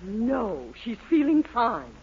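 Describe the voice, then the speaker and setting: An actress playing a bossy, scheming wife with a domineering, emphatic delivery in a 1940s radio comedy. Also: female voice, a little high, big pitch swings, slow, exaggerated voice. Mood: scheming, excited. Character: bossy, scheming, money-minded wife with henpecked husband. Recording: noisy, muffled 1940s radio recording.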